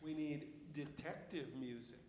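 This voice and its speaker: Man playing a high-voiced, unhurried amateur sleuth. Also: steady tone, darkish tone, lightly acted. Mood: coaxing. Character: practical, coaxing amateur sleuth named Chef. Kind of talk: live staged play reading. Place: theatre stage. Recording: reverberant theatre hall recording.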